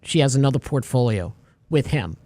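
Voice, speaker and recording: A man's voice: medium pitch, a little fast, no room sound. A man hosting a radio talk show, chatting casually and acting out little stories as he goes. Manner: animated storyteller, acts out little scenes.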